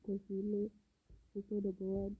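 A woman's voice: medium pitch, monotone, normal speed, breathy edge, narrow, tinny audio. A Kenyan woman co-hosting a podcast, chatting casually and bantering. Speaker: podcast co-host. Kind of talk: casual bantering chat, mixing Swahili and English.

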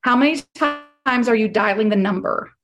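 Woman sales coach, slightly high voice, strained and hoarse, firm and repetitive. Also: moderate pace, fairly bright tone. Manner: direct, emphatic, repeats key points. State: losing her voice. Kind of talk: coaching on a group call.

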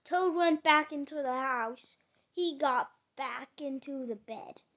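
A child reads a children's story aloud in a halting way, stumbling now and then. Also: high voice, a bit bright. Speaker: storybook reader. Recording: slightly dull, lo-fi sound.

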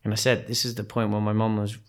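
Soft-spoken man recalling his upbringing. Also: on the low side, level tone, quick pace. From Kenya. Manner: hesitant, many restarts and fillers. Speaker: podcast guest. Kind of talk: reflective conversational storytelling.

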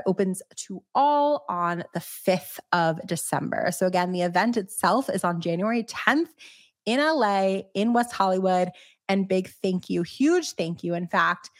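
Young woman podcast host, slightly hoarse, bubbly and chatty, dry close sound. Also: medium pitch, dry recording. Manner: bubbly, chatty, lots of "like" and "you guys". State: slightly hoarse, getting over a cold. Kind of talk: casual upbeat announcements.

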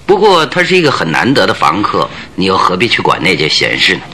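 An actor in a Chinese radio drama playing a composed, inquisitive male detective who questions in a measured, pondering way. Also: deep voice, wildly swooping pitch, sharp tone, slight background noise, exaggerated voice. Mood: curious, pondering.

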